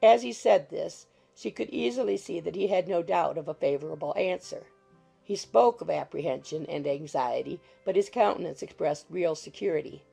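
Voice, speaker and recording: A woman's voice: on the low side, normal speed, vocal fry, mellow, husky, soft background music. A woman reading scripted literary narration as an audiobook narrator.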